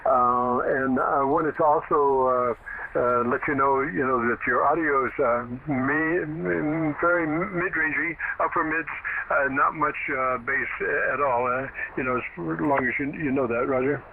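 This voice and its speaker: A man's voice: mid-range voice, steady tone, a little slow, vocal fry. Man on a very noisy, narrow radio signal. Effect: radio transmission. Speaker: amateur radio operator giving audio advice. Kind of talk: casual technical radio chat.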